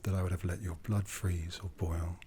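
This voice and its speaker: A man playing an ancient, jaded, aristocratic vampire in a breathy, half-whispered ASMR roleplay, haughty and seductive with long deliberate pauses. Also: deep voice, level tone, mellow, a little hiss or hum, close to an everyday voice. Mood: quiet menace. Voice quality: breathy, often dropping into whisper.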